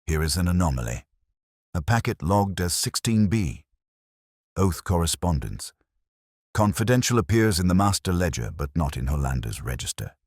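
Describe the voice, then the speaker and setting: A man acts out a cool, probing male detective in a storybook, with a very deep voice. His formal, exacting speech swings noticeably in pitch, every question placed with deliberate precision. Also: very animated, a little mellow, no echo, studio quality. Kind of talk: audiobook character reading.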